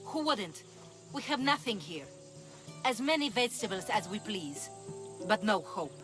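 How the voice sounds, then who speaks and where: Weary, sardonic market woman played by an actress, Greek accent, mid-pitched, dry delivery, game cutscene with music behind. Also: expressive, measured pace, lightly acted. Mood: weary, bitter. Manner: dry, wry. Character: weary, sardonic female vegetable merchant. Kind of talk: video game cutscene acting.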